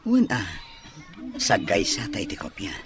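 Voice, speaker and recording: Man acting low-voiced, coaxing wealthy Filipino man. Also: wildly swooping pitch, unhurried, a bit bright, soft background music, exaggerated voice. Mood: insistent, coaxing. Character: wealthy man persuading a woman, insistent, reassuring. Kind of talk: audio drama dialogue.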